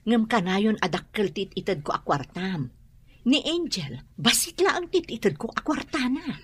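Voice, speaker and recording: A woman's voice: medium pitch, sing-song, unhurried, breathy edge. A woman playing a lovelorn, defensive mother in a radio drama. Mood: exasperated. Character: lovelorn, defensive mother, torn between two men.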